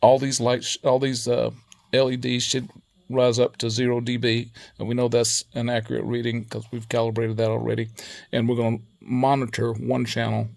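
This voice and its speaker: A man giving a casual hands-on technical tutorial in a methodical, matter-of-fact way, pausing as he goes. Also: mid-range voice, creaky, darkish tone. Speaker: presenter demonstrating equipment calibration.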